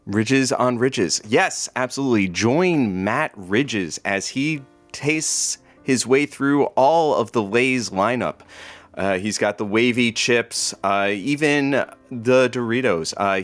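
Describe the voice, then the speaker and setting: A woman playing an easygoing, supportive radio sidekick in an audio comedy, moving between announcing and casual chat. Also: a bass voice.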